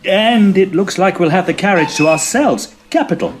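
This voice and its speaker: A man's voice: high voice, normal speed, soft background music, natural voice. A man playing a fussy, genial Edwardian estate agent in a tongue-in-cheek audio drama, speaking in wordy, formal, old-fashioned phrases. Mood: chatty, fussy. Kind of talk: tongue-in-cheek period audio drama, first-person storytelling and dialogue.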